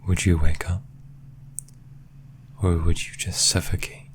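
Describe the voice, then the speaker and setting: A possessive sleep paralysis demon played by a man whispers in an extremely low, breathy voice over audible background noise. The delivery is slow and menacing, teasing the listener like an ASMR roleplay villain. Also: little pitch movement. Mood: mocking, teasing.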